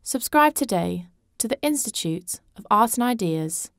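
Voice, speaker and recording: A woman's voice: fairly high pitch, animated, measured pace, gravelly, dry recording. Dry-recorded woman announcer. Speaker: channel announcer. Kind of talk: scripted promotional voice-over.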